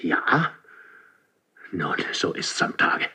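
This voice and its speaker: A man's voice: drawn-out words, sharp tone, heavily acted. An actor playing a stern, jealous king in a 1970s German radio play, speaking formal verse with command and suspicion. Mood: accusing. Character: stern jealous king, suspicious and menacing toward his wife.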